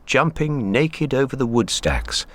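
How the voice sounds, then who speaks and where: A man playing a measured, wry literary narrator in a radio drama. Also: mid-range voice, big pitch swings, a bit bright, dry recording.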